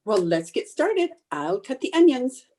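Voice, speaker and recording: A scatterbrained but stubborn housewife played by an actress in an old radio comedy. The voice is mellow and swings widely in pitch, with cheerful, theatrical emphasis. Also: female voice, big pitch swings, a little slow, exaggerated voice. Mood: blithely confident.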